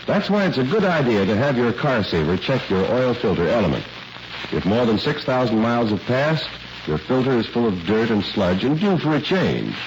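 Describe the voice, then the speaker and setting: A man's voice: mid-range voice, sing-song, normal speed. A man announcing in polished, emphatic 1940s radio style, as for a programme and its sponsor. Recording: noisy, muffled old radio recording.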